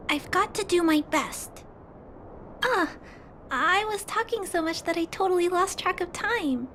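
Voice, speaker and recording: A voice actress playing an earnest young girl who speaks gently but with resolve. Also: very high voice, animated, a little slow, slight background noise, heavily acted. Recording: music behind.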